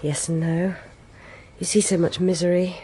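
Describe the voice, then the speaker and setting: An actress playing a tired, candid young policewoman in a naturalistic radio drama, soft-spoken and casual. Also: fairly low pitch, steady tone, normal speed, breathy edge.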